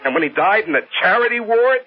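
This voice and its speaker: A man's voice: high voice, lively intonation, fast, breathy edge, highs cut off. A man acting in an old radio drama as an obsessive, grieving American businessman, intense and insistent, repeating himself. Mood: impassioned, reproachful. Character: obsessive, grieving self-made businessman son. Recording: band-limited old radio recording with music.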